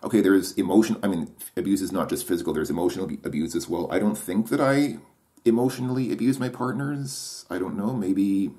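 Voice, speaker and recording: Deep-voiced man musing hesitantly. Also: fairly quick, dark tone. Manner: hesitant, restarts and repeats himself, self-questioning. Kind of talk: reflective solo monologue.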